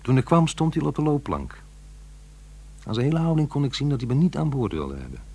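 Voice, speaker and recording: An actor playing a troubled, reproachful divorced father in middle age, halting and earnest, in a Dutch radio play. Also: medium pitch, very animated, darkish tone, breathy edge. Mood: brooding, confiding. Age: middle-aged. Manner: halting, earnest, self-questioning.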